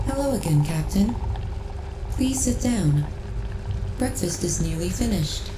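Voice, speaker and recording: A woman voicing a friendly, caring ship AI in a sci-fi ASMR roleplay, speaking in a measured, formal, precisely enunciated way. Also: medium pitch, expressive, reverberant, noisy background, natural voice. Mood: gentle, polite.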